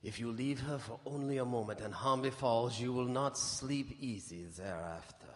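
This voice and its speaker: An actor playing a grim, authoritative professor, speaking with grave, portentous command in a radio horror drama. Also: male voice, medium pitch, normal speed, close to an everyday voice. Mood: foreboding. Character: grim, foreboding male professor, authoritative vampire hunter. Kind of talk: old-time radio horror drama acting. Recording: echoey hall, live stage broadcast.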